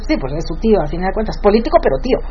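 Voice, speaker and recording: A woman's voice: mid-range voice, very animated, racing, warm tone, dull audio, a little hiss or hum. A woman hosting a radio show, chatty as she reads listener messages. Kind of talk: casual radio chat.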